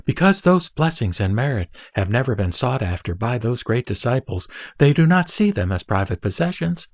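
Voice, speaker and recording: A man playing the Buddha as a patient, emphatic teacher in a scripted sutra audio drama, declamatory and deliberate. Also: mid-range voice, very animated, no room sound, clean studio sound. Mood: solemn teaching.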